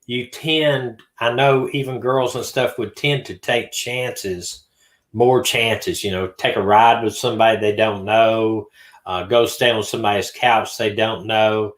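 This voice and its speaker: A man's voice: fairly low pitch, steady tone, unhurried. A middle-aged man with a Southern American accent, rambling in a folksy way as host of a casual livestream chat. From Southern United States. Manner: rambling, folksy, many fillers and restarts. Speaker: livestream host, retired detective. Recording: roomy echo.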